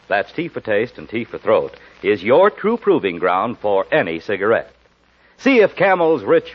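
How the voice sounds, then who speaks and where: A male announcer delivers a vintage radio commercial with emphatic salesmanship. His husky voice swings widely in pitch, and the old broadcast sounds dull. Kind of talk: 1940s radio advertising. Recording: muffled vintage 1940s radio recording.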